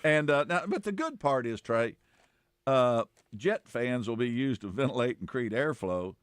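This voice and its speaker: A man co-hosts a casual radio show. His folksy, halting talk rises and falls with lively pitch, recorded dry and close. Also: clear, resonant tone, no room sound. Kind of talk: casual radio banter and news chat.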